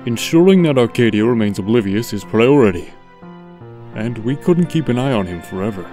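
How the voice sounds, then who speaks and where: A man's voice: mid-range voice, expressive, brisk, dark tone, faint music behind, close to an everyday voice. A man playing a grave, formal, commanding monarch in a comic dub. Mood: measured, resolute.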